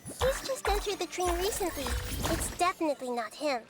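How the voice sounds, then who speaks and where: An actress voicing a small, wise girl god of dreams in video game dialogue, speaking calmly and reasoning things through patiently. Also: extremely high pitch, fairly quick, over a noisy crowd. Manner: calm, patient, reasoning step by step. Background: faint game music.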